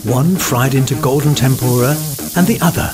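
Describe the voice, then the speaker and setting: A man narrating a food documentary in a smooth, scripted voice-over. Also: medium pitch, normal speed. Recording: voice-over with background music.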